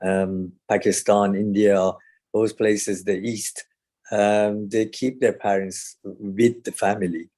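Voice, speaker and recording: Low-pitched, mellow man from Iran. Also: flat delivery. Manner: halting, searching for words. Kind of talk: discussion comments in an online meeting.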